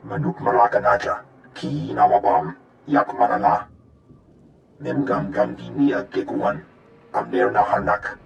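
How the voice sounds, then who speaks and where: A man voicing a gruff alien who speaks a guttural invented tongue in a video game, with a gravelly voice. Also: medium pitch, fairly even intonation, measured pace, pauses often, muffled recording.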